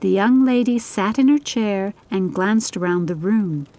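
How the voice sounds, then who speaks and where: A woman's voice: mid-range voice, unhurried, dark tone. A woman reading scripted audiobook narration of a story.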